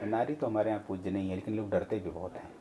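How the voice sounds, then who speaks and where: A man's voice: fairly low pitch, quick pace, warm tone. A man hosting a casual interview podcast in a teasing, jovial manner, recorded with some faint background noise. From Awadh, north India. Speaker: podcast host.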